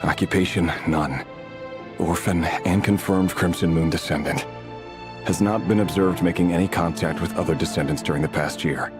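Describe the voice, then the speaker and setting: An actor playing a grim, dutiful dynasty official in a video game, speaking in a clipped, formal, deliberate way. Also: male voice, sits low, natural voice. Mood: cold, clinical. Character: grim dutiful male dynasty official, coldly self-justifying, uneasy. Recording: loud music behind. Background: loud background music.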